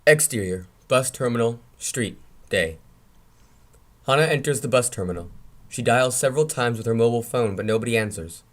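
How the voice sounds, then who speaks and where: A man's voice: mid-range voice, lively intonation, normal speed. Male script reader, middle register, scripted narration of screenplay action and scene headings. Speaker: reader of stage directions.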